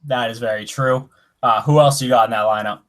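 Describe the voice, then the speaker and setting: A man in his twenties hosting a podcast, asking questions in a relaxed way with fillers and restarts. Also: medium pitch, a little fast. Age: 20s. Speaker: podcast host. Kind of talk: casual podcast interview.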